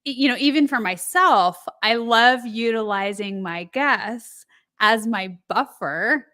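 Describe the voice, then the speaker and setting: A woman hosting a podcast interview, affirming her guest with drawn-out phrasing. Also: on the high side, bright, forceful tone. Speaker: podcast host. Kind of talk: supportive interview chat.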